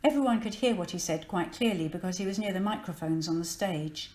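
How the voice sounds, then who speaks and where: A woman's voice: lowish voice, a little fast, a little mellow, roomy sound. A woman narrating a children's story from a script, expressively and with dramatic emphasis. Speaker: storybook reader.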